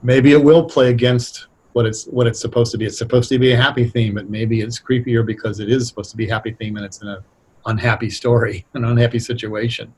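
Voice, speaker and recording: Guy with a husky voice, chatting in an interview as a writer, kinda halting and thoughtful. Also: medium pitch, fairly even intonation, darkish tone. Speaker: radio drama writer, interview guest. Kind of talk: casual explanatory interview talk.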